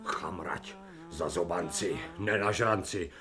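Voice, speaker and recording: A man's voice: low-pitched, bright, forceful tone. An actor in a radio drama playing a crude, insolent man made from a stray dog, grumbling in slangy speech. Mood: sullen contempt. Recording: music behind the voice.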